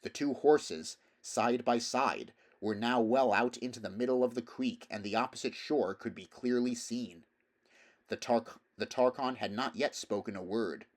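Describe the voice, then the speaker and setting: A man reading a story aloud as narrator, in a measured, expressive, dramatic style. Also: medium pitch. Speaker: audiobook reader.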